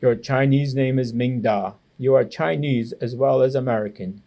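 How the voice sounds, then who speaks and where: A man reading the part of a calm, firm old grandfather who gives measured instructions in a children's storybook. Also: medium pitch, pitched below the performer's own voice, lightly acted. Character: calm, firm old Chinese grandfather and Tai Chi teacher.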